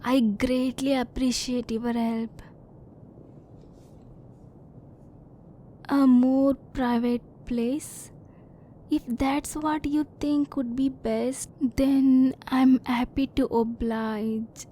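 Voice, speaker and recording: A woman voicing a curious, reserved female alien in an ASMR roleplay, speaking softly in formal, stilted phrases, at times close to a whisper. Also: high-pitched, level tone, slow pace, a little hiss or hum. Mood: attentive, unsure. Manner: soft-spoken, sometimes near whisper.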